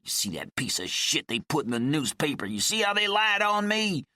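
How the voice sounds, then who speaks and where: A man voicing a bitter, paranoid old laid-off miner who rants in a gruff, folksy drawl. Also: on the high side, very animated, moderate pace, sharp tone, dry recording, studio quality, pitched above the performer's own voice, full character voice. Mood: angry ranting. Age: older. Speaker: story character. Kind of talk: audiobook character voice.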